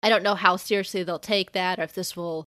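A woman's voice: fairly low pitch, fairly even intonation, fast talker, bright, forceful tone, no echo, clean studio sound. A woman co-hosting a podcast in casual discussion, thinking aloud in a rambling, hedged way. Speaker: podcast co-host.

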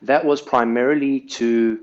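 A man's voice: mid-range voice, fairly even intonation, a little slow, dull audio. A South African man, a podcast guest, telling his life story in a casual, earnest way and often ending sentences with "right?".

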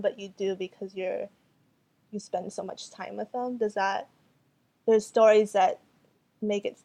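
A young woman artist, Cantonese her first tongue, answers in English at an unhurried, thoughtful pace. She hesitates often and restarts her sentences while she searches for the right words. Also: medium pitch, dark tone. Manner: hesitant, many fillers and restarts. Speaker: artist and photographer. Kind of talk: interview answers.

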